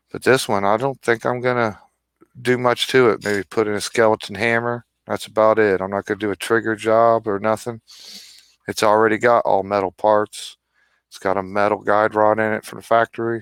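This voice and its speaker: Low, clear-voiced man chatting casually. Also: a bit bright, no echo. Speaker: co-host on a live stream. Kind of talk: casual chat.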